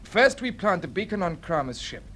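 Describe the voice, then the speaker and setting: A man's voice: high voice, sing-song, normal speed, a bit bright, exaggerated voice. An actor with a British accent playing a keen, respectful young male space pilot in an old-time radio drama. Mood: earnest. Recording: noisy vintage radio recording.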